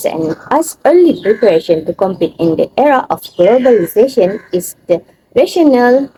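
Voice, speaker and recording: A woman's voice: mid-range voice, dark tone, a little hiss or hum, poor audio. A young woman, a university student reading her part of a class presentation. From Malaysia. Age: young adult.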